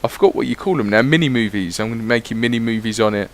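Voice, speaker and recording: A man's voice: medium pitch, rapid-fire. A man giving casual, rambling voice-over commentary on his gameplay, swearing freely as he chats. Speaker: gaming YouTuber.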